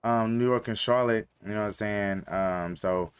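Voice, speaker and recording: A man's voice: lowish voice, moderate pace, clean tone. Young male streamer from North Carolina; loose, filler-heavy slangy chat to viewers. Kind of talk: casual live-stream chat.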